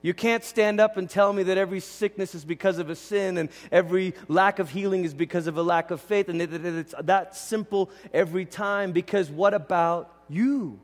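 A man's voice: sits high, normal speed, few pauses. A man from Malaysia preaching as a pastor in a conversational, informal teaching style, often voicing quoted asides. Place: large indoor church hall. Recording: reverberant hall sound.

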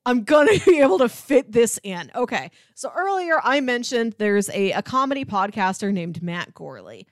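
A woman with an American accent chats casually as a podcast co-host. Her voice sits fairly high and swings widely in pitch, with a chatty, animated delivery. The sound is close and dry. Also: moderate pace, no echo. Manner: chatty, animated, often laughing while talking. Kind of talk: casual chat about films.

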